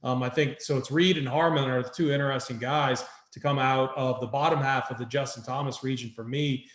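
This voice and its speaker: A man's voice: mid-range voice, steady tone, fairly quick, fairly bright tone. A man hosting a sports betting show, talking through picks in an informal, conversational way. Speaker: host of a fantasy golf picks show. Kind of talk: casual sports betting talk. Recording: roomy echo.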